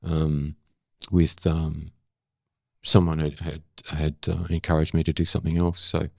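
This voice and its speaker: An older man with an Australian accent, talking candidly and reflectively as an interview guest, emphatic but with halting pauses and repetitions. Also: very deep voice, monotone, vocal fry, no echo, studio quality. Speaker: interview guest, former journalist.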